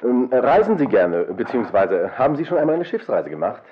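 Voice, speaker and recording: An actor plays a stiff, officious company representative. He is formally polite and a bit halting between phrases, yet talks very quickly with a strongly varied melody. It sounds like a muffled radio play. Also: medium pitch, big pitch swings, slight background noise, lightly acted. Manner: stiff, polite, hesitant with many interjections. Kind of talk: children's radio play acting. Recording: dull, lo-fi radio play recording.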